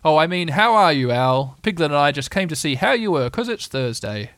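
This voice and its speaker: A good-natured, simple toy bear from a storybook, acted by a man. He sounds amiable and thoughtful, pitched up and a touch hesitant. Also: very animated, a little fast, fairly bright tone, no room sound, clean studio sound.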